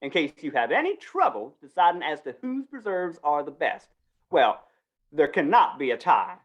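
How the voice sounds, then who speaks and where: A woman's voice: low voice, sing-song, normal speed. A woman playing a slippery, wheedling male small-town fair organizer in an old-time western radio drama, coaxing and folksy. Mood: smooth, sly. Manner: coaxing, folksy, falsely reassuring.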